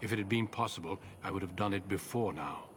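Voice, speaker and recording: An actor playing a seasoned, dutiful commander in a fantasy video game, speaking in a grave, formal, measured way. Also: male voice, deep voice, a little hiss or hum, natural voice. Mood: solemn, stern. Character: solemn, seasoned male Grey Warden commander, grave and dutiful. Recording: music behind the voice.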